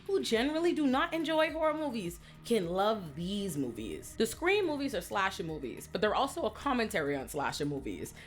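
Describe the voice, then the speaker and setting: Animated woman hosting a video essay. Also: mid-range voice. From Bahamas. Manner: animated, profane, comic asides. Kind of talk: casual commentary video essay.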